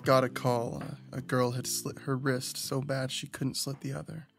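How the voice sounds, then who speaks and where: An actor playing a weary, earnest man in an audio drama, speaking in a halting, pensive, hushed way. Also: medium pitch, little pitch movement, gravelly, lightly acted. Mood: somber, haunted. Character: Raphael, weary, earnest, haunted man, a first responder type. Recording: music under the voice. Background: music.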